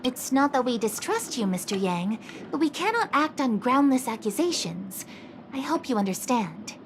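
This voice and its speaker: A woman's voice: high-pitched, very animated, normal speed, soft background music. A woman voicing a composed young officer in a video game, formal, earnest and polite. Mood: formal, apologetic. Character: dutiful, composed young female city officer. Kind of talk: video game English dub acting.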